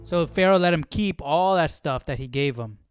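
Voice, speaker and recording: A man's voice: fairly high pitch, lively intonation, normal speed, clean tone, faint music behind. A man hosting and reacting casually to a reading, with exclamatory, conversational asides. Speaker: host.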